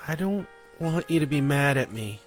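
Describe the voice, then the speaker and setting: Male narrator doing a high, teary young wife, desperate and breaking down. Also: lively intonation, normal speed, faint music behind, lightly acted. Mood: pleading. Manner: sobbing, high-strung pleading.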